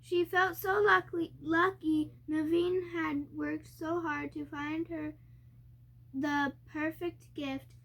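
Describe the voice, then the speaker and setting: A young girl reading a storybook aloud haltingly, sounding out and stumbling over harder words. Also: high-pitched, little pitch movement, fairly bright tone. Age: child. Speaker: young reader co-hosting a family reading video.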